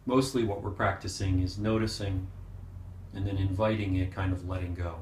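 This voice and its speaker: A man leading a guided meditation as a teacher, speaking gently and unhurriedly with pauses. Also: deep voice, steady tone, slight background noise. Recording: roomy, echoing sound.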